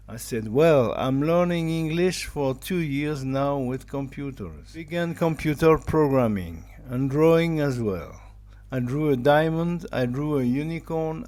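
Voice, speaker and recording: A man in his fifties from France with a French accent, whose first language is German, reading a personal pitch about his life as a digital artist in slow, simple sentences of his second language. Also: darkish tone. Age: 50s. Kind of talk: personal pitch, read aloud.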